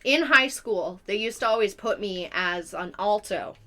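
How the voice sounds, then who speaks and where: A woman's voice: medium pitch, unhurried, a bit bright. A young adult woman chatting casually as a live streamer, explaining things in a rambling way. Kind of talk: casual chat.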